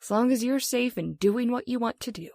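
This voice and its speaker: Actress as high-voiced, emotional long-suffering wife. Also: female voice, fairly quick, a little mellow, no room sound, studio quality, lightly acted. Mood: bitter outburst. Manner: intense, emotional, pleading. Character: frightened, long-suffering middle-aged wife, bitter and pleading. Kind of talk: old-time radio horror acting.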